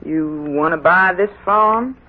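An actor in a 1940s radio drama, playing a suspicious, testy old farmer. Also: male voice, high voice, takes it slowly, phone-quality sound. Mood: wary, eager. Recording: thin, band-limited 1940s radio recording.

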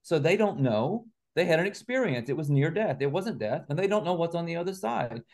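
A man's voice: a little high, lively intonation, a little fast, darkish tone. A man talks as a guest in a conversational interview. He lays out abstract ideas in an assertive, articulate manner, stressing his points as he goes. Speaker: interview guest, writer and researcher. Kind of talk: conversational exposition of spiritual and speculative ideas.

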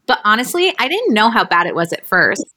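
A woman's voice: mid-range voice, big pitch swings, brisk, pushed and bright. A woman on a podcast reading a comic first-person story aloud in a conversational way. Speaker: podcast reader.

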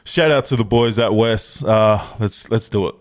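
A man's voice: on the low side, normal speed. A young Australian man co-hosting a podcast, chatting in laid-back, crude banter with a lot of swearing. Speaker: podcast co-host. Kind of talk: casual banter.

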